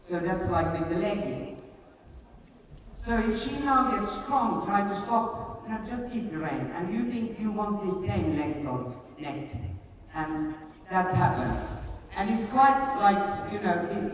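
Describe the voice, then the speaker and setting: A woman's voice: mid-range voice, lively intonation, a little slow. A middle-aged woman working as a riding coach, explaining and demonstrating in a hands-on way at a live clinic. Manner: hands-on, explanatory, repeating short commands. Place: large indoor riding arena. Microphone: picked up from far camera in audience. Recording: distant, very echoey arena, background noise.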